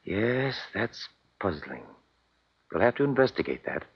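A man's voice: on the low side, sing-song, unhurried, slightly rough, heavily acted. An actor in a 1950s radio drama playing a grave, commanding middle-aged scientist, a protective father. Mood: brisk, firm. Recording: muffled vintage 1950s radio broadcast.

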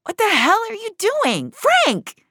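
A woman's voice: very high-pitched, wildly swooping pitch, takes it slowly, sharp tone, dry recording, studio quality, pitched above the performer's own voice, heavily acted. A woman acting a defiant, wounded fugitive woman in an audio drama. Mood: desperate, angry. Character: defiant, wounded woman on the run, dream-eraser.

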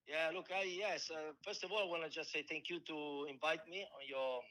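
A man in his forties with a strong Italian accent, a football coach speaking in an interview, halting and restarting as he searches for words in English. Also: on the high side, steady tone, bright, forceful tone, low-quality recording. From Italy. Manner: halting, many restarts and fillers. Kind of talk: casual interview answers.

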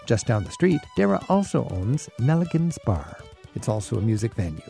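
Lively gravelly male travel radio host. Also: mid-range voice, normal speed, soft background music.

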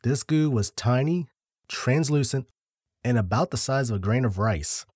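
A man co-hosting a podcast, telling a story in a laid-back, deliberate way with dry jokes, a little short of breath. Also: medium pitch, expressive, darkish tone, husky, no room sound. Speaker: podcast co-host.

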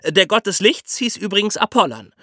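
Male podcast explainer, bright tone, very lively intonation, colloquial storytelling style. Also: sits high, fairly quick, no echo, studio quality. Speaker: podcast host explaining history.

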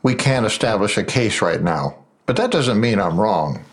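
An actor playing a vindictive, bitter investigator in an audio drama, menacing and accusatory. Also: male voice, lowish voice, animated, moderate pace. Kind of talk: full-cast audio drama acting.

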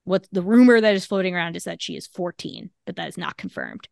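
A woman co-hosting a casual podcast, talking in a halting, measured way with many fillers and restarts. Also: on the low side, lively intonation, gravelly, a little mellow, dry recording, clean studio sound. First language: English. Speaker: podcast co-host.

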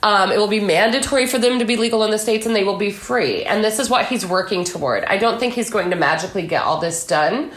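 A woman's voice: mid-range voice, fast talker, few pauses, fairly bright tone. A woman guest giving her opinions in a casual political discussion, conversational and often acting out what other people say. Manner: conversational, opinionated, quotes others in mock dialogue. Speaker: guest author and commentator. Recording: echoey room.

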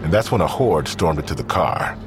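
Deep-voiced man narrating crime drama, lively intonation. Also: fairly quick, background noise. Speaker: narrator of a fiction podcast.

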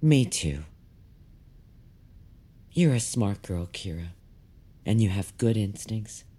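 Very deep, husky stern mentor. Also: female voice, expressive, slow pace, mellow, heavily acted. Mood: stern, disappointed. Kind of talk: audio fiction drama acting.

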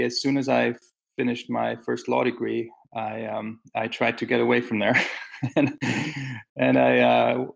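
Hesitant gravelly man from Austria. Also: mid-range voice. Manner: hesitant, many fillers and restarts. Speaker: guest. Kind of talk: conversational interview answers. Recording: teleconference call audio.

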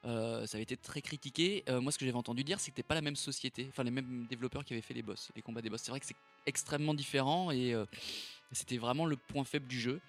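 A man's voice: medium pitch, fast talker, faint music behind. Hesitant French man sharing game news. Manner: hesitant, lots of fillers, laughs easily. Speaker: podcast co-host. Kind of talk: casual gaming news chat.

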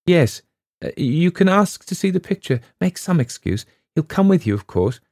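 A man voicing a frightened, trembling young woman who speaks in breathless, hurried pleas. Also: mid-range voice, sing-song, vocal fry, mellow, no room sound, clean studio sound, voice pushed up. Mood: pleading.

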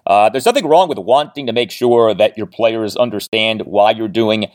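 A man hosting a sports podcast in an emphatic, deliberate monologue, often repeating phrases for weight. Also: on the low side, darkish tone, dry recording, clean studio sound. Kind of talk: sports commentary monologue with sponsor read.

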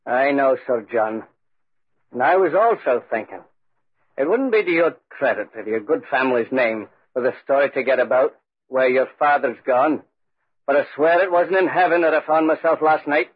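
A man's voice: mid-range voice, normal speed, breathy edge, close to an everyday voice. A man playing a loyal, anxious, deferential servant in a 1940s radio drama. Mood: earnest, confiding. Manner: deferential, earnest. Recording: muffled old 1940s radio recording.

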